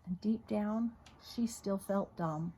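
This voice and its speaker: A woman with a husky voice reading a children's story aloud in an expressive, dramatic way. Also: medium pitch, slow, slight background noise, rough recording. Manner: dramatic, with emphatic repetition and hushed moments. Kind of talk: expressive read-aloud narration.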